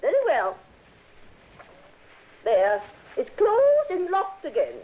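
Fluttery, genteel elderly widow. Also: female voice, high-pitched, huge pitch swings, takes it slowly, heavily acted. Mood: anxious. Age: older. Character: genteel elderly widow, sweet, trusting, easily flustered. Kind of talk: 1960s radio drama acting. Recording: dull, lo-fi vintage radio recording.

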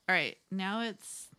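Giggly, animated woman chatting. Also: mid-range voice, slight background noise. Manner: animated, giggly, filler-heavy talk. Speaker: podcast co-host. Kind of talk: casual chat.

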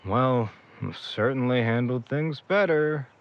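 A man playing a hurt, quietly jealous young man in an audio drama, speaking in a hesitant, resigned way. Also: medium pitch, slow pace, muffled recording, slight background noise, natural voice. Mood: resigned, guarded. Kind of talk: naturalistic audio drama acting.

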